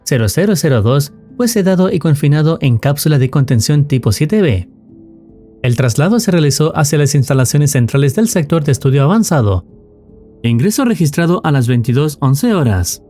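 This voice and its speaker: Lively, mellow male horror narrator. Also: a little high, normal speed, soft background music. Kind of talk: scripted narration recapping analog horror.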